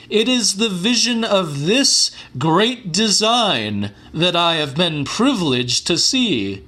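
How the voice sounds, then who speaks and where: Man playing a slow, swooping, fervent scientist-orator. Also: on the high side, bright, forceful tone, heavily acted. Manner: oratorical, formal, theatrical. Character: fervent male scientist lecturing an audience on divine revelation. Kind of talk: dramatic audiobook monologue.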